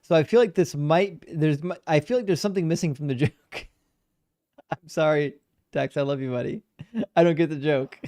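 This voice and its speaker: A man hosting a live debate stream, reading out viewer messages in a relaxed, chatty way and laughing often. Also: on the high side, normal speed, dry recording, clean studio sound. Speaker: debate show host. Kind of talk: casual live-stream chat, reading super chats.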